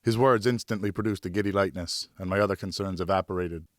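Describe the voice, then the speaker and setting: A man narrating a historical novel in the first person, with expressive, deliberate storytelling. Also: sits low, dry recording, clean studio sound. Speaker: audiobook narrator, professional voice actor.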